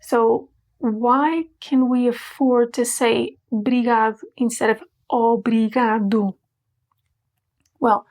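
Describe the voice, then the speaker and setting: Gravelly-voiced woman teaching. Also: fairly high pitch. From Portugal. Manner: playful, emphatic, slows to spell out words. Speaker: language teacher. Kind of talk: scripted online language lesson.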